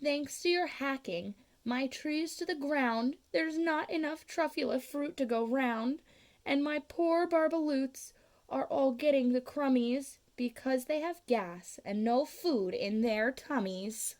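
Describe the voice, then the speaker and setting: A woman voicing a grumpy old forest creature from a storybook, croaky, scolding and pleading. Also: high-pitched, slow pace, darkish tone. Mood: mournful pleading. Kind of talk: storybook read-aloud.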